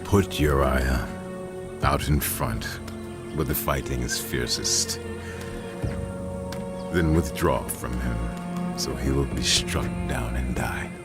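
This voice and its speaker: An actor playing a grave, measured ancient king in a dramatized scripture reading, with weighty authority. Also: rumbling low, lively intonation, exaggerated voice. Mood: grave, cold. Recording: loud music bed behind voice.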